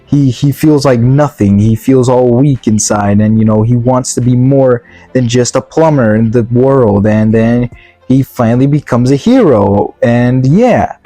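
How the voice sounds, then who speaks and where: A young man gives a blunt, rambling review, stumbling over fillers and restarts. His voice is mellow and sounds tired and worn. He is recorded close and dry, with faint music underneath. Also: medium pitch, measured pace, no room sound. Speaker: video game movie reviewer. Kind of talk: casual spoken review.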